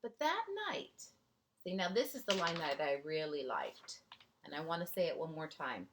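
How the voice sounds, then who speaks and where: A woman teacher reading a picture book aloud to young children, gently pausing for chatty asides and questions to her listeners. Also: sits low, very animated, noisy background.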